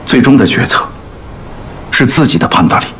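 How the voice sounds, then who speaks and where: An actor playing an earnest male business mentor giving measured counsel in a screen drama. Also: medium pitch, animated, natural voice. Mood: earnest, advising.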